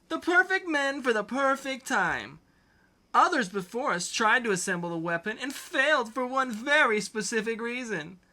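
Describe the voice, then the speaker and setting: A man's voice: very high-pitched, sharp tone, full character voice. A man voicing a whiny, grandiose dictator villain in a melodramatic, pompous comic-book reading.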